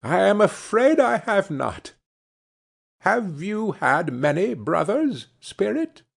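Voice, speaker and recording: A man reading aloud puts on a humbled old miser, pitched up high and husky, pleading in a timid, submissive way. The voice rises and falls a great deal as he begs to be taught. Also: big pitch swings, slow pace, full character voice.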